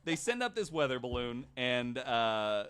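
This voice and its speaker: A man hosting a casual podcast, retelling stories to his guests in an animated, swearing way. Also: mid-range voice, unhurried, clear, resonant tone. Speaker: podcast host.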